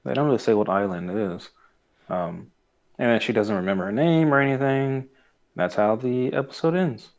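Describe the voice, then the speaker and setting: A man's voice: mid-range voice, moderate pace, gravelly. A man co-hosting a podcast, giving his review casually and hesitantly as he thinks aloud, on muffled audio. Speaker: podcast host.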